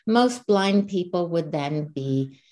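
A Czech-born woman in her sixties with a low voice, speaking as a podcast guest. She is earnest and reflective and often restarts mid-sentence while she recalls things. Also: animated, slow pace, darkish tone. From Czech Republic. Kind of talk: conversational interview. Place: Calgary, Canada.

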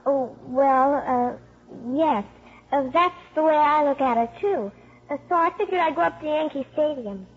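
Woman playing a brash, high-voiced streetwise schoolgirl. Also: normal speed, natural voice. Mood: evasive, breezy. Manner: slangy, hesitant starts, matter-of-fact cheek. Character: streetwise, precocious schoolgirl, brash and unimpressed by grown-ups. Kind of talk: 1950s radio drama acting. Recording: muffled 1950s radio broadcast.

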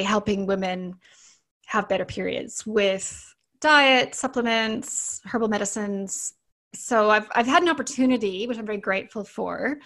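A woman's voice: mid-range voice, fairly bright tone. A middle-aged woman with a Canadian accent, a naturopathic doctor answering as a podcast guest in chatty, explanatory talk that often restarts. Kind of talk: casual interview talk.

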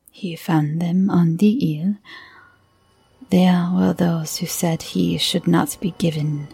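A woman voicing a timid, uneasy older village priest who speaks in slow, hushed whispers. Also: lowish voice, gravelly.

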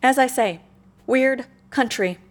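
A woman's voice: high-pitched, takes it slowly. An actress playing a dry, sceptical, practical woman in an audio comedy, wry and matter-of-fact. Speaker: actor in a comedy fiction podcast. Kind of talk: audio comedy drama acting.

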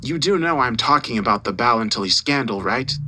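A man's voice: medium pitch, normal speed, background noise, close to an everyday voice. A man playing a furious, condescending newspaper boss who berates his employee in a dramatised audio story. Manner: condescending, mocking, forceful.

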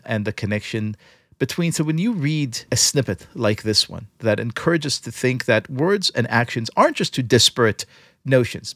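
A man's voice: medium pitch, a bit bright, dry recording, clean studio sound. A man hosting a podcast, narrating and interviewing in an emphatic, theatrical way. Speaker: podcast host.

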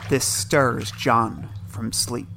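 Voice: medium pitch, very slow, creaky, sharp tone, a little hiss or hum. A narrator reading scripted scene descriptions for an audio drama in present tense.

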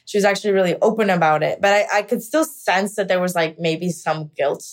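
A woman's voice: on the low side, fairly quick. A young woman from the United States candidly telling her own story in an interview, reflective and full of casual fillers. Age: young adult. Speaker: actor sharing her story. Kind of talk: personal interview monologue.

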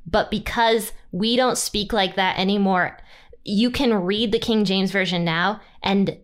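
A woman hosting a podcast, chatting in a relaxed, casual way and laughing easily. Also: unhurried. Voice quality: low singing voice. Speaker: podcast host. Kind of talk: casual conversational interview.

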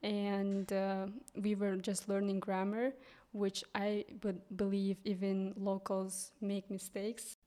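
A young Kyrgyz woman talks in an unhurried, hesitant way, stopping often to search for words. Her voice is mellow and fairly flat in pitch. She speaks English as a third language, with a clear Kyrgyz accent. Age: young adult. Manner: hesitant, many ums and restarts. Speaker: guest, English teacher. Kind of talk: casual interview.

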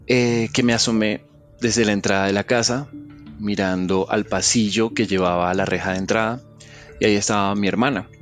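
A man with a somewhat low voice tells a personal story. He speaks softly, in a reflective and halting way. Faint music plays under his voice. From Venezuela.

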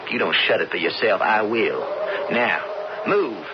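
A man's voice: lowish voice, lively intonation, moderate pace, natural voice. A brash, scheming outlaw played by a man from a radio western, talking in a folksy Western American drawl. He sounds sly and cocky, on a dull old broadcast recording with some noise behind. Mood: cocky, commanding. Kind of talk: 1970s radio western acting.

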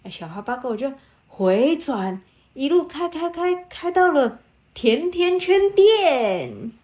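A woman improvising a bedtime story with a child, animated and prompting with questions. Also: sits high, takes it slowly. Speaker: storyteller, podcast host.

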